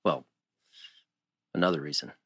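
A man's voice: very deep voice, normal speed, fairly bright tone, husky, no echo, clean studio sound, heavily acted. A man playing an earnest, supportive, understated teenage boy in a TV teen drama table read. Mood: earnest, concerned.

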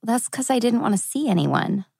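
Woman doing unsure wry teen girl, tv drama read, creaky voice, dry close sound. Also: on the high side, expressive, moderate pace, a little mellow, dry recording. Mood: wry, guarded. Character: anxious, wry teenage girl torn over her family.

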